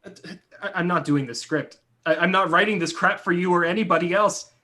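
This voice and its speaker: A man's voice: sits high, fairly quick, sharp tone. A man playing an embittered, stammering screenwriter in a play reading, wounded and defiant. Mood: bitter, stubborn. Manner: stammering, protesting.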